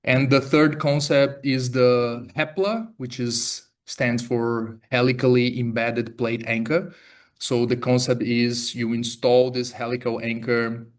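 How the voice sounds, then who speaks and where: A man from Brazil with a Brazilian accent, giving an academic talk as a research fellow, relaxed, with many fillers and restarts. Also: medium pitch, takes it slowly. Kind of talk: academic presentation. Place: lecture theatre.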